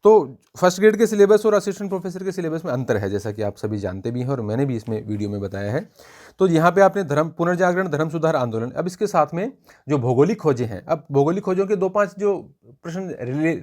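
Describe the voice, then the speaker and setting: Man, exam coach, mid-pitched voice, informal review style, measured explanatory delivery, points out examples. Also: darkish tone. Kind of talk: informal spoken review for students.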